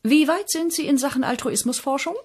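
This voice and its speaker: An actress playing the prim, bossy chief of a heavenly agency, indignant and commanding in a radio comedy. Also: female voice, high voice, fairly quick, darkish tone, lightly acted. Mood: stern bossing. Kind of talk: comedy radio play acting.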